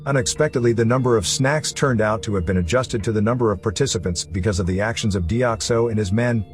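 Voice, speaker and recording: A man's voice: lowish voice, quick pace, soft background music. A man reading scripted narration for a story recap video, as its narrator.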